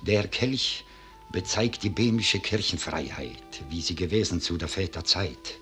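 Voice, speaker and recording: Mournful old cellar master, lowish voice, old german radio drama, faint music. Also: male voice, expressive, unhurried, pushed and bright, full character voice. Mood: proud, solemn. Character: grave, loyal old Bohemian cellar master, mournful and proud. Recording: 1950s radio recording, music behind.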